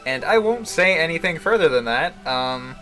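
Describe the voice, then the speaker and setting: Higher-voiced man rambling on a game stream. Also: expressive, sharp tone. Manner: rambling, halting, chuckles to himself. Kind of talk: casual live-stream commentary on a visual novel. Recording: game music behind the voice. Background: video game music.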